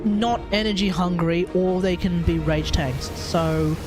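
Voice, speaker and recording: Male Australian game streamer: high, lively voice, casual rambling commentary, music underneath. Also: a little slow. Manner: rambling, thinks aloud, slangy. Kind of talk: casual live gameplay commentary.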